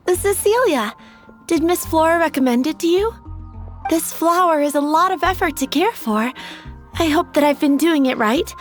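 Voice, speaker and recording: A woman voicing an earnest, slightly hesitant, girlish young flower seller in an anime game. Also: sits high.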